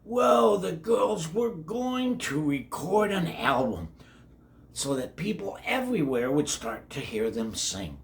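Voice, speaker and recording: An older man reading a children's story aloud in a folksy, grandfatherly, halting way, with chatty asides to the kids. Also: a little high, sing-song, creaky.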